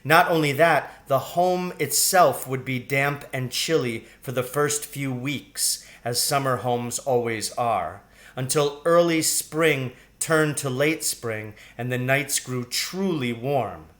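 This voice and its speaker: A man with a medium-pitched voice reads a children's story aloud. His delivery is very unhurried and deliberate, with expressive storybook phrasing. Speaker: audiobook reader.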